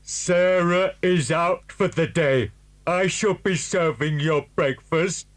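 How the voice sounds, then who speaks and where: A cold household servant in a children's adventure drama. He speaks very slowly in a fairly high male voice, stiffly formal and sinister. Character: slow, formal, sinister manservant. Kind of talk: scripted children's audio drama.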